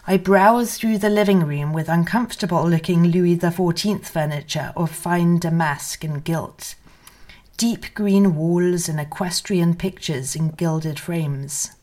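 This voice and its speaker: A woman's voice: lowish voice, measured pace, a little mellow. A woman narrating a first-person memoir as a scripted literary reading. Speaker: reader of memoir excerpts.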